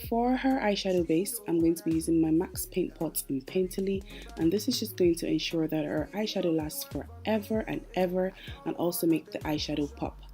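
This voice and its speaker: Young woman, low mellow voice, chatty makeup voice-over, soft music behind. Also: moderate pace. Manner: chatty, explains each step. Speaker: makeup artist.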